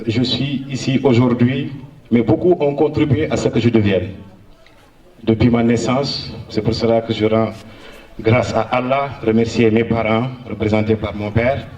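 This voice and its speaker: A man's voice: medium pitch, darkish tone. Distant, echoing man giving a speech. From Senegal. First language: Soninke. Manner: earnest, measured oratory with frequent hesitations. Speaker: honoree giving a public speech. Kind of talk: public speech at a local ceremony.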